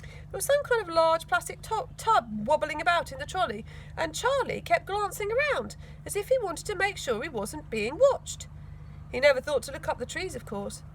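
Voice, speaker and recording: A woman's voice: very high voice, sing-song, normal speed, a little hiss or hum, rough recording. A woman reading a children's storybook aloud expressively, with dramatic emphasis. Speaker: school teaching assistant reading a children's book.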